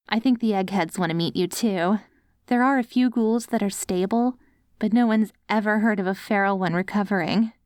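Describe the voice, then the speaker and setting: A woman's voice: medium pitch, dry recording, clean studio sound, lightly acted. A woman playing a devoted, hopeful wife tenderly coaxing her zombie husband in an ASMR audio roleplay. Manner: tender, coaxing, speaks directly to a loved one.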